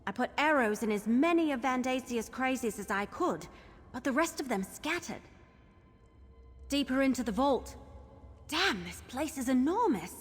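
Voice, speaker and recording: A woman voicing a brash, cheerful young wood elf adventurer in a video game, brisk and informal. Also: high voice, animated. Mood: brisk, concerned. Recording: long reverb, music behind.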